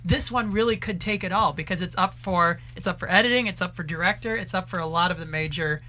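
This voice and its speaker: A man speaking as a guest film critic in an animated studio discussion, with an effusive, enthusiastic manner. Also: high voice, fast. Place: radio studio.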